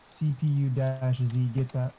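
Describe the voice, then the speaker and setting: A man's voice: mid-range voice, level tone, a little slow, background noise. A man chatting casually and giving tech advice, swearing freely as he goes. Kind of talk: casual chat.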